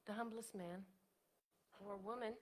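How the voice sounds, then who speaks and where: An actress playing a modest, wistful, devoted widow in a staged reading of a period drama, with genteel, articulate phrasing. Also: female voice, medium pitch, steady tone, a little slow, a little hiss or hum, close to an everyday voice. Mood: humble. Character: elderly devoted widow writer, modest, wistful, self-doubting.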